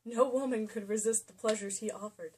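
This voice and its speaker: A high-pitched female voice reads prose aloud in a mock-dramatic way. She is jokey and informal, slipping between the narration and offhand comments as a co-host would. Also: fairly even intonation, a little fast, a little mellow, breathy edge, poor audio. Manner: jokey, breaks into laughter while reading. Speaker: co-host reading a romance novel aloud. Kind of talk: comedic dramatic reading with casual asides. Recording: faint background noise, amateur home video.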